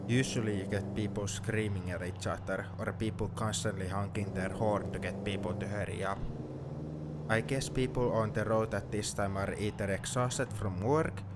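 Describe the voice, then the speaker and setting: A man's voice: on the low side, moderate pace, natural voice. A man playing a sentimental, teasing young friend heading to university, in an intimate one-sided ASMR roleplay. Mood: wistful, reflective. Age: young adult. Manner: reflective, playfully defensive. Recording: steady car noise behind the voice.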